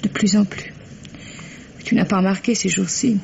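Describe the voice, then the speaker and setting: Actress playing a weary French wife, creaky voice. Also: female voice, mid-range voice, fairly even intonation, normal speed, darkish tone, close to an everyday voice. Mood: weary, plaintive. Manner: plaintive, sighing, reproachful. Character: weary, bitter, jealous middle-aged wife. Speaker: radio drama actor. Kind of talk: 1950s French radio drama acting. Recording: dull, noisy old radio recording.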